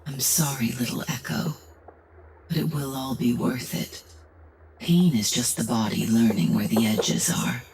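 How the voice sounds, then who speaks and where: A woman playing a coldly tender, controlling creator villain in a fantasy audio drama, hushed and menacingly soothing. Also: rumbling low, fairly even intonation, unhurried, slightly rough, exaggerated voice, rough recording. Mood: tender menace.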